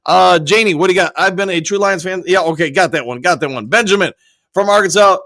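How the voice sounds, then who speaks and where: A man's voice: sits high, quick pace, sharp tone, dry recording, clean studio sound. A man hosting a live stream, reading viewers' comments aloud and answering them upbeat, his voice nearly worn out. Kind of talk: casual live-stream chat.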